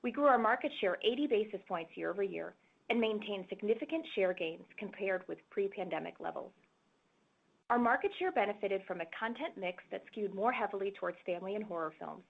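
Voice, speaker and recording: Phone-line businesswoman with creaky voice. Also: medium pitch, animated. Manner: formal, measured, businesslike reading. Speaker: corporate finance executive presenting on an earnings call. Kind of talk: scripted financial presentation. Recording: phone-like, narrow-band conference call audio.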